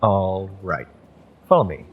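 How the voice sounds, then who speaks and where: A man acting a gentle, courteous witch who runs a magick shop and reads tarot, speaking politely and a little hesitantly in a roleplay audio. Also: low voice, very animated, slow pace, narrow, tinny audio, a little hiss or hum, heavily acted. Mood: attentive, slightly shy. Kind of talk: roleplay audio, acted monologue to the listener.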